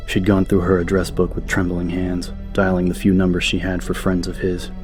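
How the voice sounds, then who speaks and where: Very monotone, low-voiced male narrator. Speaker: audiobook narrator. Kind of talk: scripted dramatic narration.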